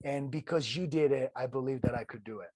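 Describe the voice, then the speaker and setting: A man from Italy with an Italian accent, telling his story as a podcast guest in an earnest, halting way full of restarts. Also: mid-range voice, warm tone, slightly rough, no room sound. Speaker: guest, ultrarunner. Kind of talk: casual interview storytelling.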